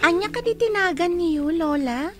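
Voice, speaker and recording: A woman's voice: very high-pitched, lively intonation, normal speed, over loud music. A woman playing a polite, curious young orphan in a radio drama, asking the old woman question after question. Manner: polite, asks many questions.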